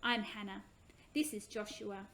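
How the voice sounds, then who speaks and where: A woman voicing an excitable, devout little girl in a children's story, eager and full of questions. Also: medium pitch, unhurried. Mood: curious.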